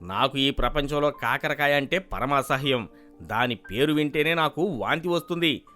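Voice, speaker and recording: A man's voice: medium pitch, expressive, moderate pace, sharp tone, faint music behind. A man playing a gluttonous, short-tempered landlord with a pompous, commanding manner in a storybook cartoon. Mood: angry. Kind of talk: animated storybook dialogue.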